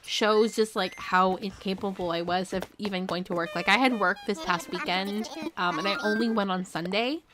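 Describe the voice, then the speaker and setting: A young woman chatting casually on a gaming live stream, rambling with lots of fillers and bursting into excited squeals, her voice still slightly hoarse. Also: medium pitch, moderate pace, few pauses. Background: game music.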